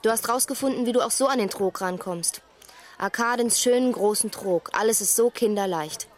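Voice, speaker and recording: A woman's voice: on the high side, normal speed, close to an everyday voice. An actress playing a crook's jealous, bitter young girlfriend in a dubbed 1950s thriller, reproachful and insistent. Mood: bitter warning. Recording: film soundtrack with clear music.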